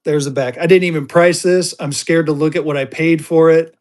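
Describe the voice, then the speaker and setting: A man hosting a live-stream auction of paper money, chatty, jokey and excitable. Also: on the high side, fairly quick. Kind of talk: casual live-stream selling chat.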